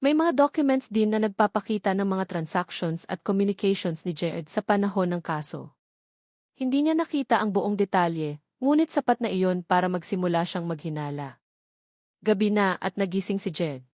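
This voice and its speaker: A woman's voice: mid-range voice, a little mellow, no echo. Woman narrating drama, dry close sound. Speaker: story narrator. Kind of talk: dramatic scripted narration of a romance drama story.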